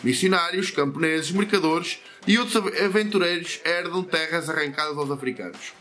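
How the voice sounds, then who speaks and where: A man's voice: on the high side, normal speed, bright, forceful tone. Portuguese man reading a comic aloud. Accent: European Portuguese. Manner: chatty, digressive, frequent fillers and self-corrections. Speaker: history channel host.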